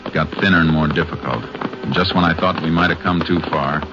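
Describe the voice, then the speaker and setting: An actor playing a steady, stern Texas Ranger who speaks and narrates tersely in a 1950 radio drama. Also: male voice, a bass voice, fairly quick, over music. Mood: determined. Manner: terse, laconic, authoritative. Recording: dull vintage radio recording.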